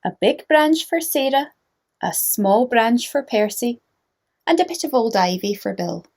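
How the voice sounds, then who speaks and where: A woman narrating a children's storybook in a gentle manner, inviting young listeners to join in. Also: fairly high pitch, lively intonation, unhurried.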